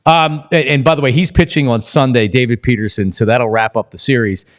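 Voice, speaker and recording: A man's voice: mid-range voice, steady tone, a little fast. A man hosting a casual sports talk show, conversational and opinionated as he interviews a guest.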